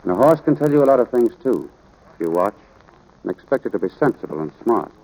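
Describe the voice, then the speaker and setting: An actor playing a stern, gruff middle-aged American rancher father, firm and plain-spoken, in 1940s radio drama style. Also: mid-range voice, sing-song, quick pace, mellow, poor audio. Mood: earnest, instructive. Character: stern, gruff rancher father instructing his young son. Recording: vintage radio drama recording.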